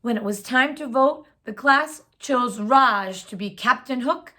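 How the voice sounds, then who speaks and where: Slow, gentle woman reading a storybook. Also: on the high side. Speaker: children's story reader. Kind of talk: reading a picture book aloud.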